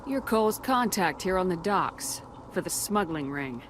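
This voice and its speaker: An actress playing a tough, blunt military commander in a video game, questioning people in a curt, confrontational manner. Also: female voice, fairly low pitch, lively intonation, moderate pace, noisy background, lightly acted. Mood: businesslike. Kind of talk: video game dialogue acting.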